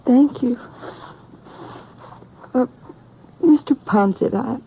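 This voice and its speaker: Timid pleading american farm wife, raspy breathy voice, old western radio, kinda muffled. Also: female voice, sits high, extremely slow, full character voice. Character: anxious, humble, pleading farm wife of the frontier. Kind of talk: 1950s radio drama acting.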